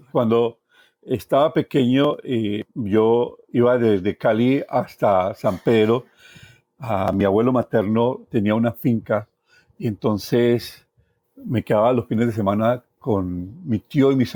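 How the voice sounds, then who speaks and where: An older Colombian man telling personal stories in a reflective, expressive way as an interview guest. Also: fairly low pitch, dry recording. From Valle del Cauca, Colombia. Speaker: journalist, podcast guest. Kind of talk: personal storytelling.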